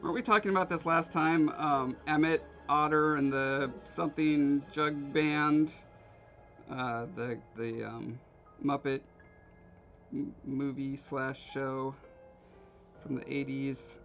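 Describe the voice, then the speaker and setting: A man from Alaska hosts a craft livestream, rambling and joking with his viewers while he works. His voice sits in a middle pitch. The sound is a little dull, with faint music playing underneath. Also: fairly even intonation, slow pace, a bit bright. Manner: rambling, joking with live chat. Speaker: livestream host. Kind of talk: casual chat while carving.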